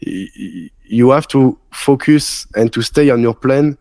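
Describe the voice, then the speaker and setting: A man in his thirties speaks English with a strong French accent, slowly and haltingly, pausing often to hunt for the right word. He sounds a little unwell. The recording is dry and close, with no room sound. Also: mid-range voice, lively intonation, dark tone, no echo. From France. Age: 30s. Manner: halting, many filler sounds, searching for English words. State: sick. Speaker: guest trader. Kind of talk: live stream interview.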